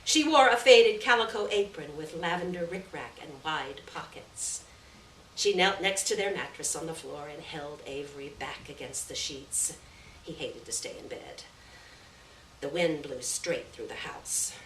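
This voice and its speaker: A woman reading a literary short story aloud at a live event, with measured, deliberate phrasing and stressed words. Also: sits low, fairly bright tone. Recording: distant roomy live recording, faint noise.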